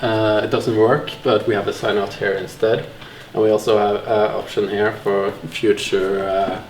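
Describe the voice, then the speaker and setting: A man's voice: lowish voice, little pitch movement, normal speed, roomy sound, a little hiss or hum. A man in his twenties presenting his programming work in a straightforward way, with some fillers. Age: 20s. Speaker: programming student. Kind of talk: casual presentation.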